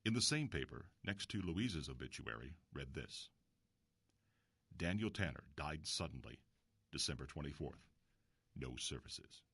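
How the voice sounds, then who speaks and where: A man playing an earnest, inquisitive investigator in an audio drama, telling a mystery in a measured storytelling way. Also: extremely low pitch, fairly bright tone, breathy edge, no echo, heavily acted. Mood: grave, intrigued. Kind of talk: audio drama acting with first-person narration.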